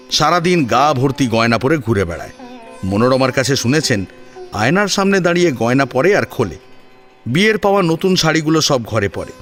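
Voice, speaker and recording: A man narrates a dramatic audio story. His voice sits at a middle pitch and rises and falls widely with expressive, rhetorical phrasing. Music plays softly underneath.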